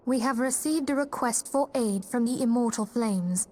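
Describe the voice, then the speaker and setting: An actress plays a fantasy game's leader of a secret order, a woman with a fairly high voice. She speaks formally and courteously, in measured phrases, with composed authority. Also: darkish tone, lightly acted. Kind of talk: video game cutscene acting.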